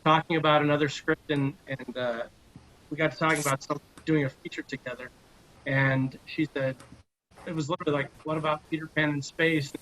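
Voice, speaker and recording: Man chatting casually, fairly high voice. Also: little pitch movement, fairly quick, a bit bright, rough recording. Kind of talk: casual chat. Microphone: video call.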